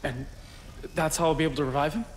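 A man's voice: a little high, fairly even intonation, fast talker, a little hiss or hum, close to an everyday voice. A man playing an earnest, worried young in a video game, speaking with urgent seriousness. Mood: worried, focused. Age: young adult. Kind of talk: video game cutscene acting.

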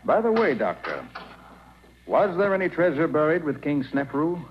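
An actor playing a shrewd, self-assured private detective in a 1940s radio drama, speaking crisply and with command. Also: male voice, fairly high pitch, big pitch swings, moderate pace. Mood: casual, probing. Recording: muffled, noisy 1940s radio recording.

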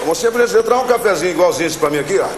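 A man's voice: high-pitched, animated, rapid-fire. A middle-aged man from São Paulo, pulling a prank and playing innocent at a café counter. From São Paulo, Brazil. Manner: playful, feigning innocence. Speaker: prank show actor. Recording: echoey café with crowd.

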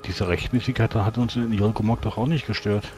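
A man speaking in character in a tabletop role-playing game, arguing in a deliberate, formal way. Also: lowish voice, steady tone, darkish tone, slightly rough, soft background music. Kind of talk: in-character improvised game dialogue.

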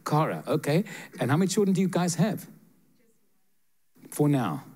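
Older man preaching, animated and direct. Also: on the high side, normal speed. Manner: animated, joking, direct address to listeners. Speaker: guest preacher giving prophetic words. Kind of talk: prophetic ministry to congregation. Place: church sanctuary with seated congregation. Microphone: handheld mic.

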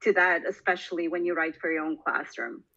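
Bright-voiced woman, muffled line. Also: lowish voice, flat delivery, fairly quick. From Bosnia and Herzegovina. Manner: thoughtful, many fillers. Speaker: guest, language teacher. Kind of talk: podcast interview.

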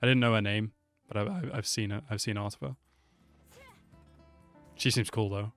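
A man's voice: fairly low pitch, fairly even intonation, moderate pace, fairly bright tone, no echo. A man in his twenties giving casual, slangy, easily impressed reaction commentary into a close desk microphone. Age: 20s. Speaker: YouTube reaction host. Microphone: close desk microphone with pop filter. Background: music from game trailers.

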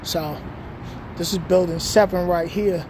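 A man's voice: sits high, fairly even intonation, unhurried. Laid-back New York man walking at night. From New York City. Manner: laid-back, reflective, slangy street talk. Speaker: vlogger. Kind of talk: casual walking vlog. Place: outdoors at night on city streets and housing project grounds. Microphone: phone held at arm's length. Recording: outdoor street noise with passing traffic.

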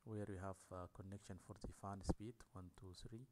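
A man giving a technical lecture over slides, talking in a halting way with many fillers. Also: low voice, steady tone, background noise.

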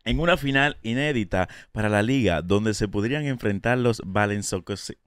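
A man's voice: lowish voice, moderate pace, smooth, no echo. A Dominican man in his thirties, a radio co-host reading sports news as a comic bit, tripping over foreign names and laughing through his lines in a studio. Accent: Dominican Spanish. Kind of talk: mock newscast, stumbling comic reading. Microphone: studio mic on boom arm, headphones.

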